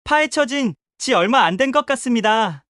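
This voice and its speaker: A woman's voice: on the high side, expressive, normal speed, fairly bright tone, dry recording, voice pushed up. A woman voicing a polite police detective in an audio drama, formal and questioning, recorded dry. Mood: businesslike.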